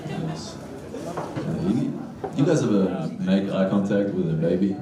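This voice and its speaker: A man's voice: low-pitched, sing-song, unhurried, heavy background noise. A man from Iraq doing a crude, blunt stand-up comedy set on a club stage. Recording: roomy club sound from a distance with loud crowd laughter.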